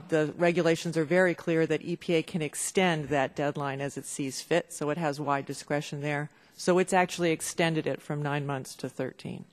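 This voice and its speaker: Matter-of-fact woman explaining at a hearing. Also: low voice. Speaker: lawyer testifying as expert witness. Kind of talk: formal hearing testimony. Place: legislative hearing room.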